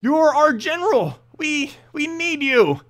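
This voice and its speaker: A man's voice: very high-pitched, big pitch swings, slow, fairly bright tone, pitched above the performer's own voice, full character voice. A man voicing a dim, earnest, bewildered young squire in a fantasy story, naive and stammering. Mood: pleading, dismayed.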